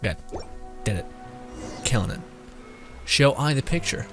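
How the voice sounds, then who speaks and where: Very low-voiced woman streaming a game. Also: lively intonation, measured pace, music in the background. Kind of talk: casual live gameplay commentary.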